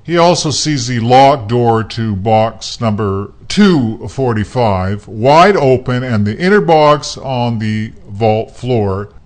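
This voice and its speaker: A man's voice: medium pitch, animated, slow pace, hardly any pauses, a little mellow. A man narrating a radio play from a script.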